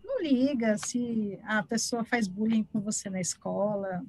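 A woman's voice: fairly high pitch, a little slow, a little mellow, slight background noise. A woman with a Brazilian accent giving casual, chatty and encouraging answers as an interview guest. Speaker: interview guest, author and art teacher.

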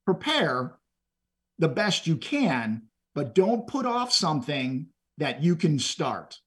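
A man's voice: fairly high pitch, sing-song, slow pace, fairly bright tone, slightly rough. A middle-aged man from Texas, telling stories and giving motivational advice in an earnest, conversational way as a podcast guest. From Texas, USA. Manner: earnest, motivational, repeats words when starting phrases. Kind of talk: conversational storytelling.

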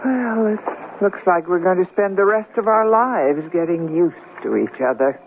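A woman's voice: fairly low pitch, lively intonation, moderate pace. A woman playing a wry, wisecracking middle-aged newlywed in a 1970s radio comedy drama. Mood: wry, bantering. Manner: dry, wisecracking. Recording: noisy, narrow-band 1970s radio recording.

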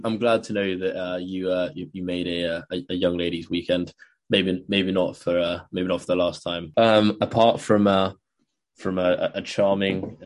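A man co-hosting a podcast, chatting casually in a hesitant way with many pauses and restarts. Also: low voice. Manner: hesitant, many ums and restarts, chuckles often. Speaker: podcast co-host. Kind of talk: casual chat.

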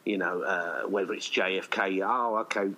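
A man's voice: sits low, fairly even intonation, normal speed, sharp tone, slight background noise. A man with a British accent talking casually in a rambling, chatty way, as a writer of audio plays. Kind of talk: casual conversation.

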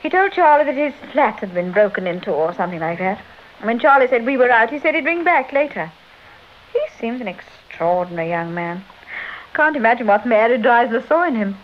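A devoted sleuth's wife with a polished British RP accent and a high, well-bred voice. Her manner is polite and crisp, in classic radio drama style. The vintage recording is muffled, with a little noise behind her. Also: sing-song, a little fast. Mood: composed, dry. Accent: British Received Pronunciation.

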